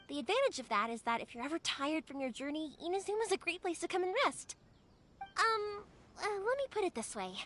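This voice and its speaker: An actress playing a cheerful, caring young woman in a video game, chatty, expressive and easygoing. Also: high-pitched, a little hiss or hum. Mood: sympathetic, thoughtful. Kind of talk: video game character acting.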